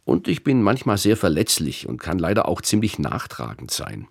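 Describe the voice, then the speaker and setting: A man's voice: deep voice, wildly swooping pitch, normal speed, gravelly, breathy edge. A male radio host reading a reflective, self-critical introduction in a studio. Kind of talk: scripted radio presentation.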